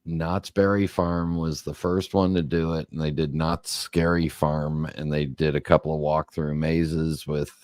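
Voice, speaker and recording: Very deep-voiced man, casual chat. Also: level tone, a little slow, few pauses, a little mellow, no echo, clean studio sound. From Southern California. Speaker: co-host.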